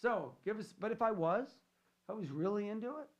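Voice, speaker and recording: A man's voice: sits high, animated, fairly quick. A man hosting a financial radio show, chatting loosely and joking with his co-hosts between promos and calls. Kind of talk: casual on-air banter and show promos.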